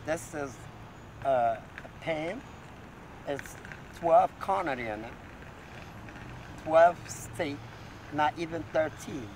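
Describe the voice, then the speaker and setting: Man, middle-aged, Moroccan accent, rambling, agitated, repetitive, fairly high voice, recorded outdoors with loud street noise. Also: expressive, slow pace, pauses often, low-quality recording. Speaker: street interviewee.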